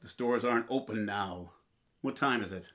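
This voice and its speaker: An actor playing a crabby, penny-pinching, exasperated husband in an old-time radio comedy, grumbling and indignant. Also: male voice, lowish voice, moderate pace, a bit bright, natural voice. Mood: impatient.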